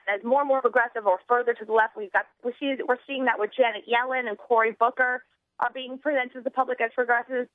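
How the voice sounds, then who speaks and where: A woman's voice: fairly high pitch, dry recording. Young American woman on a tinny phone line. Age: young adult. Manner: rambling, halting with false starts. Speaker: political activist phoning in as a guest. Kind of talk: earnest political talk, partly reading out a platform. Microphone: telephone.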